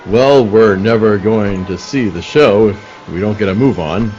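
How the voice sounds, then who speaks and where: A man's voice: fairly low pitch, measured pace, darkish tone, highs cut off, natural voice. A man playing a businesslike police detective in a radio play, matter-of-fact and firm. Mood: patient, dry. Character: level-headed, businesslike male police detective. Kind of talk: old-time radio play acting.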